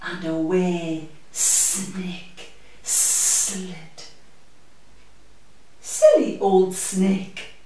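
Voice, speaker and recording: A woman reading a picture book aloud to young children, expressively and with a deliberate sing-song rhythm. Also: on the low side, steady tone, heavy background noise. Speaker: children's story-time reader. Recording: very echoey room, distant microphone.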